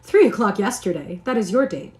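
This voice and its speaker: A woman voicing a clever, proud young lady with a quick, mocking wit. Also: medium pitch, big pitch swings, darkish tone, lightly acted. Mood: teasing. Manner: brisk, mocking.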